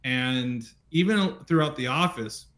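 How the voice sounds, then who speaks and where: Laid-back host, mid-pitched, rambling car talk with frequent restarts. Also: male voice, a little slow. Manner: relaxed, rambling, hesitant restarts. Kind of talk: casual car chat.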